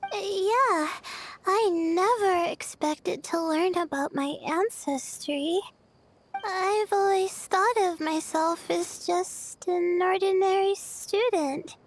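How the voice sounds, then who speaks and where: A woman's voice: very high-pitched, slow pace. A woman voicing a timid, self-doubting young female student in an anime-style game, hesitant, bashful and earnest. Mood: wondering.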